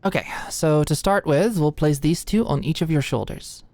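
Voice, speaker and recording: Woman acting a low-voiced, anxious young medical student. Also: normal speed, a little mellow, no room sound. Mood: earnest, explaining. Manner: nervous, hesitant, stammering. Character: anxious, flustered young female medical student, sweet and awkward. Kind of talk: ASMR roleplay, soft intimate acting.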